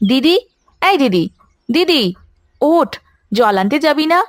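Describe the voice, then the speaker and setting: A woman's voice: high voice, very animated, slow, no echo, studio quality, exaggerated voice. A woman voicing a greedy young village sister in a cartoon, pleading and insistent, with music behind her. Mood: coaxing.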